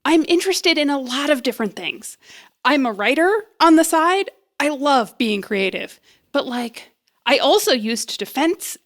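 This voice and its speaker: A young woman bluffing eagerly through an audio drama scene. Her voice sits high and swings about with lots of expression. She is flustered and hesitant, tending to ramble nervously. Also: lively intonation, unhurried, a bit bright. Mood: boastful, bluffing. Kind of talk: naturalistic audio drama acting.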